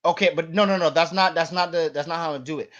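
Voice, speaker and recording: Debate panelist, male, from Canada, high register, combative and emphatic, repeats phrases, swears freely. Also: fairly even intonation, quick pace, lo-fi sound, crowd noise. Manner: emphatic, repetitive, combative, profane. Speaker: panelist on an online debate show. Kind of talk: heated informal argument.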